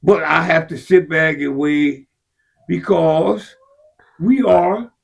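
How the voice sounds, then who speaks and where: A man in heated casual discussion, his husky voice sitting high. He is impassioned and profane. His voice climbs to shouts when he presses a point. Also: slow. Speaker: podcast co-host.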